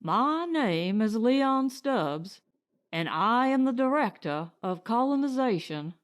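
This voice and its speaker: Woman voicing a slow-speaking, formal colony director. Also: a little high, sing-song, smooth. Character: weathered middle-aged male colony director, frank and formal.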